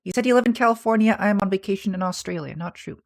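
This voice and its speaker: A man with a soft, feminine-sounding voice, chatting casually on a livestream and answering viewer messages in a rambling, soft-spoken way. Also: sits high, quick pace, gravelly. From Canada. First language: English. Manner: soft-spoken, rambling, with restarts and small laughs. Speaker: streamer.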